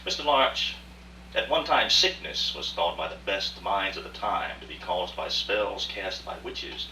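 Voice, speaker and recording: A man's voice: sits low, sing-song, unhurried, pushed and bright, exaggerated voice. A man acting a cultured, proud businessman, telling his tale articulately and emphatically in a live radio drama. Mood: insistent. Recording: roomy far-off rehearsal recording, faint hiss.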